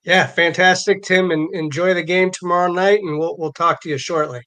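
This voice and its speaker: A man's voice: high-pitched, fairly even intonation, normal speed, pushed and bright. A man co-hosting a sports show with casual chat, often repeating words as he goes. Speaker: co-host of a sports card live stream.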